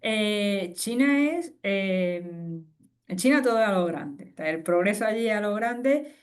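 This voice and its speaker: A woman, a guest expert speaking in a conversational webinar interview, animated and explanatory with frequent fillers and restarts. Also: mid-range voice, takes it slowly. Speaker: guest expert on fusion energy.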